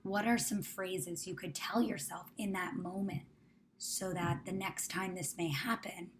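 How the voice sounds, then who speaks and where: A woman leading a guided meditation, speaking soothingly and in measured phrases that trail off into a near-whisper. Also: fairly low pitch, fairly even intonation. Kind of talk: guided meditation, spoken to the listener. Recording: clear background ambient noise.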